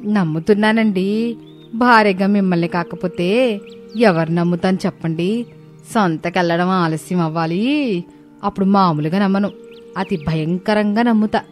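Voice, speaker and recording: A woman's voice: medium pitch, moderate pace, pauses often, soft background music, lightly acted. A woman playing a suspicious, sarcastic village wife in a story, accusing and nagging. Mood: accusing, mocking.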